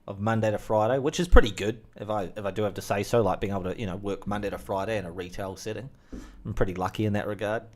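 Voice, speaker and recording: A young man with a New Zealand accent, rambling through a casual monologue with lots of fillers and asides. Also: on the low side. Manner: chatty, full of fillers and asides. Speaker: vlogger.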